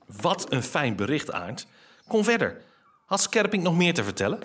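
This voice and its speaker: A man's voice: fairly high pitch, big pitch swings, moderate pace, fairly bright tone, breathy edge, heavily acted. An actor playing a hospitable, wry father of a medieval household in an audio drama. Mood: welcoming.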